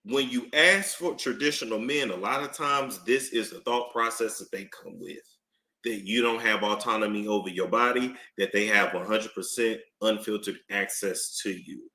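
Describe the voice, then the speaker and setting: Man, live-stream commentator, bright lively delivery, roomy echo, emphatic repeater. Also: on the low side, moderate pace. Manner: animated, emphatic, repeats phrases for effect.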